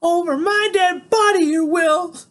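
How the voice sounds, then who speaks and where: A man reading aloud, voicing a grandmother shouting in tears. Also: very high-pitched, a little slow, voice pushed up. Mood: furious. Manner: shouting, sobbing. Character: grandmother, furious and tearful.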